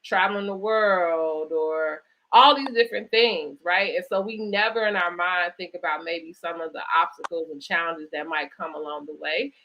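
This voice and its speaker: A woman hosting a conversational interview, speaking reflectively in halting phrases and often checking in with "right?" or "you know". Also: lowish voice, highs cut off, slight background noise. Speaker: host interviewing an author. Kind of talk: conversational interview at a virtual book event.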